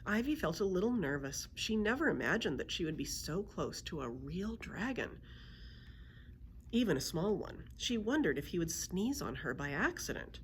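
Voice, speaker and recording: A woman reading a children's book aloud as a library storyteller, in an expressive storytelling manner. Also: on the low side, normal speed, slight background noise.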